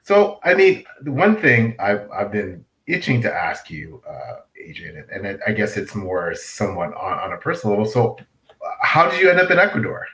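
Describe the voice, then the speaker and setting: A man's voice: lowish voice, big pitch swings, moderate pace, fairly bright tone, roomy sound, rough recording. A man co-hosting a podcast over a video call, friendly and easygoing, repeating his words. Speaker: podcast co-host. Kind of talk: casual video podcast chat.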